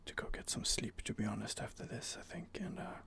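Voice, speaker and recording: A man whispering through a gaming ASMR session, his voice heavy with gravelly fry. His hushed delivery still moves up and down a lot in pitch, and he hesitates and restarts his thoughts as he chats with viewers. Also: lively intonation, brisk. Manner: hesitant, with many fillers and restarts. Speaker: let's play host. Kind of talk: whispered ASMR gameplay commentary.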